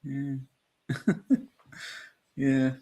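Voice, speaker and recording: A man's voice: medium pitch, fairly even intonation, very slow, mellow. A man with a Devonshire accent, co-hosting a podcast in casual film chat, hesitant with many fillers and restarts. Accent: Devonshire English. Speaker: podcast co-host.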